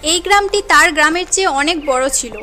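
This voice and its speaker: A woman's voice: high voice, fairly quick, sharp tone, faint music behind. A woman giving scripted narration for a cartoon story as its narrator.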